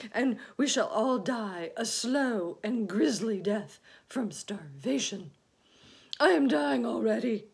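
A woman voicing a gloomy, irritable male earthworm who complains in loud, emphatic protest in a children's storybook. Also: medium pitch, gravelly, breathy edge, pitched above the performer's own voice, exaggerated voice. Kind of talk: children's storybook read aloud.

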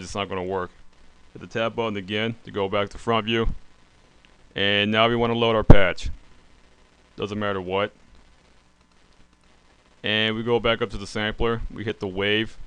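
Low, very clear-voiced man giving a tutorial. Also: fairly bright tone, no echo, poor audio. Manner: plain, step-by-step instructing. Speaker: tutorial presenter. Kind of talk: unscripted software tutorial narration.

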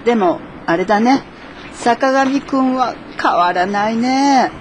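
A woman's voice: a little high, takes it slowly, a little hiss or hum. A woman playing a frank, teasing former classmate in a naturalistic radio drama.

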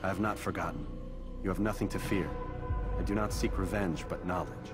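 A man's voice: low voice, fairly quick, lightly acted. A man playing a stoic, determined young assassin in a video game, serious and restrained. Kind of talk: dramatic video game cutscene acting. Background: music.

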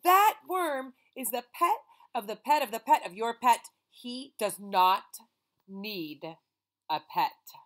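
Fed-up storybook mom scolding loud and high, woman reading it out, bright voice. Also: very animated, moderate pace, few pauses, heavily acted. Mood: exasperated. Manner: scolding, emphatic. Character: exasperated mother, fed up with pets.

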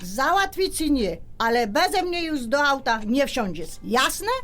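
A scolding highland mother. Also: sing-song, measured pace, heavily acted. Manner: scolding, sharp, regional speech. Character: scolding Polish mother, middle-aged wife. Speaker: wife Kasia. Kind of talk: radio drama acting.

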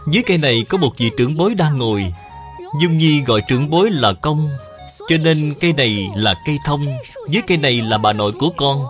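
A man plays a bright little boy in a cartoon, high and lively in pitch. He speaks respectfully and formally, like a small scholar, with music behind him. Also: fast, natural voice. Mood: polite, eager. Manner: respectful, formal beyond his years. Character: polite, bright, earnest little boy, Khổng Dung. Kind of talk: dubbed educational cartoon acting.

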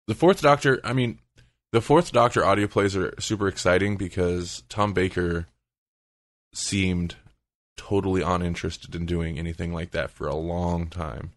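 A man's voice: low-pitched, fairly even intonation, normal speed, dry recording, clean studio sound. A man hosting a podcast, chatting casually and feeling out his words as he goes. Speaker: podcast host.

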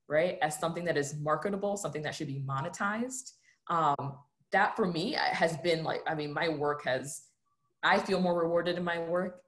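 A woman speaking on a panel over a video call, earnest and reflective, often tagging her points with a questioning "right?" and gesturing with her hands as she talks. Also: low-pitched. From United States.

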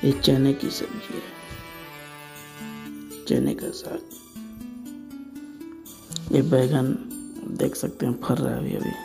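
A man's voice: medium pitch, sing-song, slow, creaky, pauses often, music in the background. A man narrating a casual village vlog, pointing things out as he goes. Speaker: village vlogger. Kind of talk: casual vlog narration, showing around a farm.

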